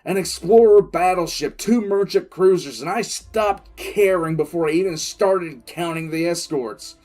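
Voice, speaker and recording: Male actor as grim military commissar, lighter-pitched voice, audio drama narration, deliberate pauses, reflective, faint music behind. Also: a little mellow. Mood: tense, haunted. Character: grim, shaken military commissar recounting a looming invasion.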